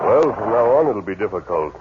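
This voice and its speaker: Deep-voiced, decisive western hero, tinny 1950s radio broadcast. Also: male voice, sing-song, a little fast, very noisy. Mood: steady command. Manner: firm, decisive orders. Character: masked male western hero, calm commanding leader. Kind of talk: 1950s radio drama acting.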